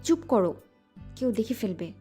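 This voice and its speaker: A woman's voice: on the high side, sing-song, moderate pace, dark tone. A female voice actor playing a shy, teasing young wife in an audio romance drama. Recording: music bed underneath.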